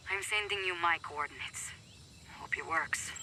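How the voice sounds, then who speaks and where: An actor playing an anxious ally calling a hero over the radio in a video game. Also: male voice, fairly high pitch, moderate pace, noisy background, close to an everyday voice. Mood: relieved, urgent. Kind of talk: video game cutscene acting.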